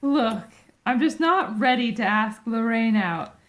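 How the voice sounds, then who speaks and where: A woman reads as a timid, stubborn, nerdy teenage boy in a movie script. The voice sits high and moves slowly, with expressive swings in pitch. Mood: nervous, stubborn. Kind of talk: casual table read.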